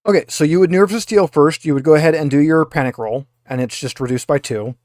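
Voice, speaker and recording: A man's voice: on the high side, quick pace, darkish tone, dry recording, clean studio sound. A man running a tabletop roleplaying game as game master, explaining rules and guiding players step by step.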